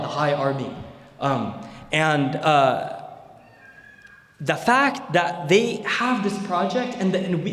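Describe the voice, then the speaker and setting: A young man gives a relaxed, rambling history lecture, leaning on fillers and tag questions to keep his audience with him. His voice sits a little high for a man. Long reverb from the large hall hangs behind every phrase. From Bay Area, United States. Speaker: Islamic scholar lecturing.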